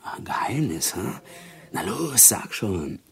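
Gravelly low-voiced jailbird cellmate. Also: male voice, very animated, unhurried, background noise, full character voice. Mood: teasing. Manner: teasing, colloquial, pushy. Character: crude, jovial male prison cellmate, uneducated and scheming. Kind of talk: German radio crime drama acting.

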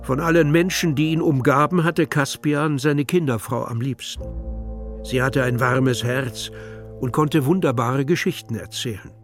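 Male narrator for a children's audio drama, mid-pitched, unhurried scripted storytelling over music. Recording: music under the voice.

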